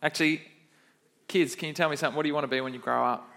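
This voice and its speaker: A young man with a fairly high voice leads a children's sermon in church. He is upbeat and chatty, and he keeps stopping to quiz the kids in the room. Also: steady tone, very fast, gravelly, sharp tone. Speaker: kids pastor.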